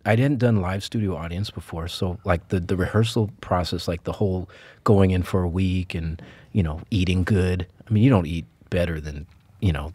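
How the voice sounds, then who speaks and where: Lively man telling stories on a podcast. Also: low-pitched, husky, dry recording. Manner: animated storyteller, acts out quotes, laughs often. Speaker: actor guest. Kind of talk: casual podcast chat.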